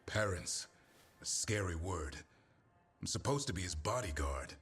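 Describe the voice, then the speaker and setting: A man playing a gruff, stoic but caring warrior and creature keeper in a video game cutscene, terse and dryly humorous. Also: low-pitched, lively intonation, measured pace, fairly bright tone, exaggerated voice. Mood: reflective, uneasy. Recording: music behind.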